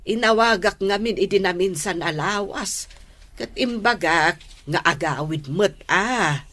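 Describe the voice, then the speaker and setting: A woman's voice: fairly low pitch, expressive, unhurried, fairly bright tone. An actress playing an affectionate older mother in a Filipino radio drama, speaking with a Filipino accent. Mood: warm, motherly. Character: affectionate older mother, fond of matchmaking and cooking.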